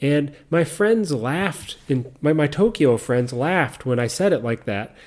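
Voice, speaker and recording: A middle-aged man with a mixed American accent and faint Southern roots, hosting a podcast in slow, clear teaching talk for learners. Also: fairly high pitch. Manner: calm, explains step by step, repeats key points. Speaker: podcast host.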